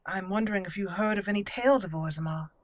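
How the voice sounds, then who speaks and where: A woman voicing a tough, stoic young elf mage in a fantasy game roleplay, speaking formally and with measured words. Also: medium pitch, close to an everyday voice. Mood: hesitant, courteous. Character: tough, guarded young female elf mage, dwarf-raised.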